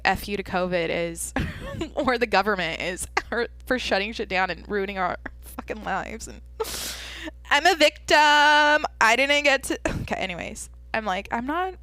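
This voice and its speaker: A woman's voice: mid-range voice, fairly bright tone, no echo. Young woman podcast host, twenties, from the Pacific Northwest; rambly, candid, swears freely, close and dry sound. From Washington State, USA. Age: 20s. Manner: rambling, filler-heavy, candid and profane. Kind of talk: casual solo Q&A podcast.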